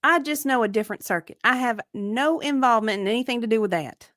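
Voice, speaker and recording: A woman's voice: a little high, fast, fairly bright tone, dry recording, studio quality, lightly acted. A woman acting the part of an evasive, defensive victim advocate under deposition, hesitant and clipped in a dramatic reading.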